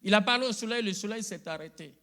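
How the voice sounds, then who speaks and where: High, bright preaching man. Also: fast. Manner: call-and-response preaching, rising to loud emphatic peaks. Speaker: pastor. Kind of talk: sermon. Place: church.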